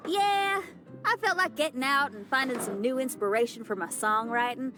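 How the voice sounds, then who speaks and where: A woman's voice: high-pitched, normal speed, over music, close to an everyday voice. A woman playing a friendly, down-to-earth young rock musician in a video game, casual and teasing. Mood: easygoing.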